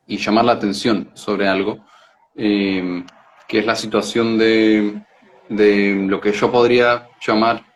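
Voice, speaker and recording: A man's voice: lowish voice, slight background noise. A man from Israel speaking as an interview guest, explaining things reflectively and hesitantly, searching for words and repeating them.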